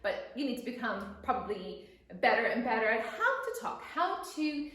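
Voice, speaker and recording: Animated woman teaching in an echoey room. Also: a little high, normal speed. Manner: expressive, playfully emphatic. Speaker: guest storyteller and children's teacher. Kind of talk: explanatory chat for children.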